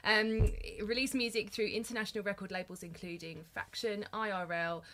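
A woman's voice: mid-range voice, steady tone, moderate pace, sharp tone, a little hiss or hum. A woman co-hosting a podcast in casual chat, playful and teasing as she reads out comments and a guest bio. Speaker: podcast co-host.

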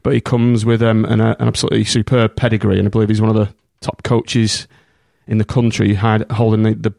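A man's voice: fairly low pitch, flat delivery, brisk, vocal fry, darkish tone, dry recording, clean studio sound. A man hosting a casual podcast chat, friendly and rambling with many restarts. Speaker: podcast host.

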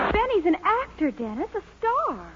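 An actress playing a doubtful, gently patronizing young girlfriend in a 1940s radio comedy, patient and hesitant. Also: extremely high pitch, very noisy, exaggerated voice. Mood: amused. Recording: dull, lo-fi 1940s radio recording.

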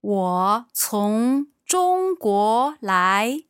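A woman's voice: medium pitch, big pitch swings, extremely slow, smooth, dry recording, studio quality. A woman from China teaching a language lesson, modeling words carefully and giving brief, encouraging replies.

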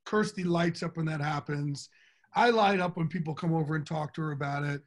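A man's voice: fairly high pitch, a little fast. A middle-aged man with a big voice, talking from the heart as an interview guest. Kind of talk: casual interview talk.

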